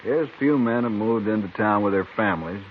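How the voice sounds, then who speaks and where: An actor playing a plain, matter-of-fact American saloon bartender in an old-time radio western. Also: male voice, on the low side, brisk, natural voice. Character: plain, easygoing small-town saloon bartender. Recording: muffled, hissy old radio recording.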